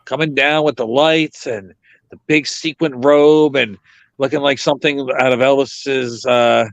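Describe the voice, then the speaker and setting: A man's voice: mid-range voice, a little slow, no room sound. Wisecracking man on a dull dry mic. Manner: jokey, deadpan wisecracks. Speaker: podcast co-host. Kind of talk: casual joking chat.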